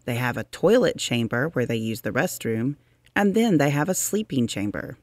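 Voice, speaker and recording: A narrator reading a children's science book aloud, deliberately and expressively, with drawn-out emphasis for young listeners. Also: medium pitch, sing-song, darkish tone, no room sound, studio quality.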